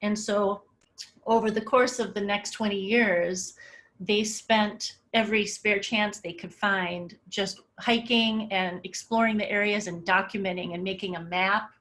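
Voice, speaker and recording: A woman's voice: medium pitch, fairly even intonation, measured pace, fairly bright tone. A middle-aged woman giving a webinar talk over slides, in a casual, conversational way with many filler words. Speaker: webinar presenter.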